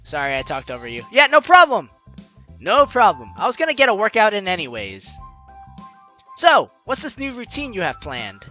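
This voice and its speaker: A man's voice: high voice, huge pitch swings, moderate pace, pushed and bright, faint music behind. A young man hosting a game playthrough, reading dialogue aloud and commenting in a playful, chatty, dramatic way. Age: young adult. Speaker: let's player hosting a game series.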